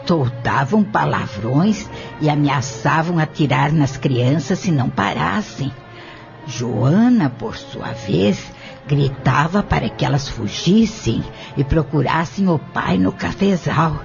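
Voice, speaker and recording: A man playing an elderly, firm woman shopkeeper who testifies in a measured, formal way in an audio drama. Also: a little high, creaky, darkish tone, heavily acted. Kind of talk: audio novel acting. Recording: dull lo-fi audio with music bed. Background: music.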